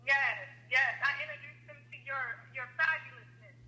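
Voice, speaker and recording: A woman's voice: high-pitched, steady tone, moderate pace, pushed and bright. A woman co-hosting a conference call, cheering on the host in short affirming words over a phone line.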